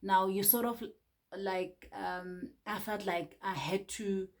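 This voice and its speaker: A woman's voice: medium pitch, fairly even intonation, takes it slowly. A Nigerian woman reviewing a book in a casual chat, hesitant, with many fillers and restarts.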